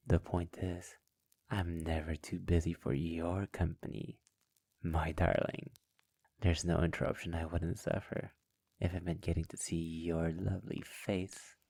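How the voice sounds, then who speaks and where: Casting: male actor as suave, menacing vampire master for intimate ASMR drama; unhurried, fry-laden delivery, condescending and possessive, close and dry on the mic. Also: low voice, little pitch movement, dark tone, no echo, heavily acted. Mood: silky, commanding. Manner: slow, teasing, often dropping into breathy whispers; silky, formal, condescending endearments. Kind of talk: ASMR roleplay, intimate scripted monologue. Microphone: close mic in a dry, quiet space.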